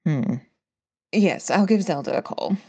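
Casting: actress as gracious, take-charge lady, lowish voice, lively intonation, courteous phrasing, RPG drama. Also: gravelly, slightly rough, exaggerated voice. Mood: polite concern. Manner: courteous, measured. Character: polite, composed, considerate woman taking charge.

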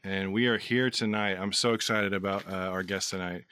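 Low-voiced man hosting, close and dry. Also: steady tone, normal speed, pushed and bright, smooth, dry recording. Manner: informal, effusive, rambling. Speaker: podcast host. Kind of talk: casual chat interview.

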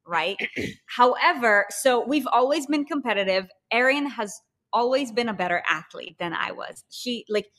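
A woman from Israel, a podcast guest talking in animated, emphatic bursts full of fillers. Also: moderate pace. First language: Hebrew. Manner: animated and emphatic, with sudden jumps in pitch and filler-heavy bursts. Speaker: podcast guest, fitness business co-founder. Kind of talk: casual interview chat.

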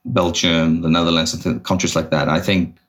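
A man with a very low, deep voice answers questions as a podcast guest. He talks in a halting way, restarting sentences as he works out his thoughts. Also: level tone. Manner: hesitant, restarts often, thinks aloud. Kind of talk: casual business interview.

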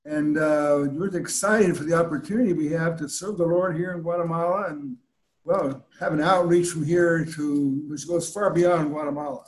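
A man's voice: fairly high pitch, moderate pace. Man, missionary, easygoing humble delivery, roomy echo. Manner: relaxed, humble chat. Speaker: missionary seminary staff. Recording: echoey room on a call.

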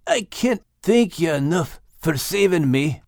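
A man voicing an earnest, grateful Scottish dog in a children's animal story, folksy and animated. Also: fairly high pitch, measured pace, vocal fry, no echo, studio quality, pitched above the performer's own voice. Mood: earnest, urgent.

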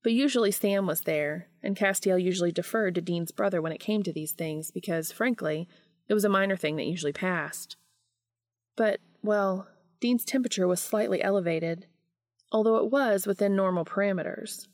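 A woman's voice: mid-range voice, normal speed, no room sound, clean studio sound. A woman reading a story aloud as scripted audiobook narration. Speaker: audiobook reader of fan fiction.

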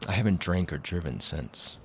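A man's voice: sits low, lively intonation, normal speed, a bit bright, husky, heavily acted. A man playing an earnest, sober prison inmate in a comedy audio drama. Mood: matter-of-fact.

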